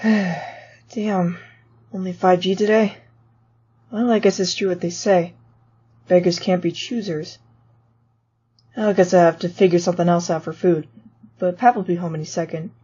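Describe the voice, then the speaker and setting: A woman's voice: lowish voice, steady tone, unhurried, pauses often, warm tone, slightly rough. A woman playing a protective, wisecracking teenage skeleton big brother, casual and tender, in a fan comic dub. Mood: joking. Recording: muffled lo-fi audio over music.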